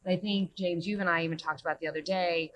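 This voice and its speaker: A woman's voice: lowish voice, steady tone, fast, a bit bright, poor audio. A woman on a conference panel, speaking earnestly and emphatically. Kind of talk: panel discussion. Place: conference stage.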